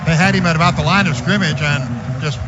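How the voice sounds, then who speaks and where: A man calling a football game for radio from a stadium booth. His voice sits fairly high and climbs louder with every big gain. The broadcast sounds muffled and lo-fi, with steady noise behind him. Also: fairly quick. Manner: rises in pitch and volume on big plays, calm between. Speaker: radio play-by-play announcer. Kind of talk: live radio play-by-play.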